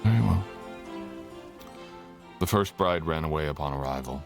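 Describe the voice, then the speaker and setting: A man's voice: very deep voice, normal speed, creaky. A man playing a courtly, teasing, tender dragon king in human form, speaking intimately as a lover in an ASMR roleplay. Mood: candid, wry. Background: music.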